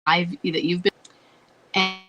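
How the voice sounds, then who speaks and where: A woman hosting an advice talk, congested and scratchy as her voice gives out, explaining in a halting way. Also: fairly low pitch, flat delivery, sharp tone, soft background music. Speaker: advice show host. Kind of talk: advice talk with read-out letters.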